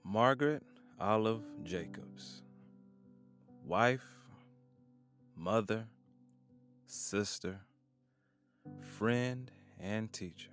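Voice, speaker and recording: A man narrating an audio drama in a measured, solemn way. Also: on the low side, fairly bright tone, faint music behind.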